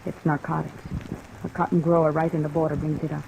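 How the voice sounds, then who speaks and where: A woman's voice: sits low, flat delivery, fast talker, vocal fry. An actress playing an anxious, guarded woman who is trapped and afraid, in 1950s-style radio drama, on an old recording. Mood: fearful confession. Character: frightened, trapped young woman confiding secrets. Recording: tinny old radio, loud rain noise.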